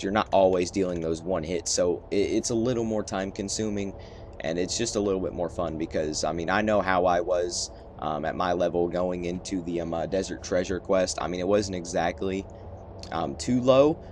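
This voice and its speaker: A man's voice: low voice, a little mellow, a little hiss or hum. A man hosting a gaming video, giving casual, rambling and somewhat hesitant gameplay commentary. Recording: faint music behind.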